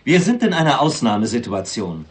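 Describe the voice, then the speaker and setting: A hard-line male cabinet minister, played by an actor in 1960s radio drama style. His voice is mid-pitched with animated rises and falls. He sounds formal and resolute. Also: brisk, close to an everyday voice.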